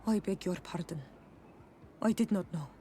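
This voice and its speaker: A woman's voice: fairly low pitch, normal speed, dark tone, a little hiss or hum, close to an everyday voice. Female actor, young tribeswoman, earnest, proud, formal, respectful, video game cutscene, light ambience.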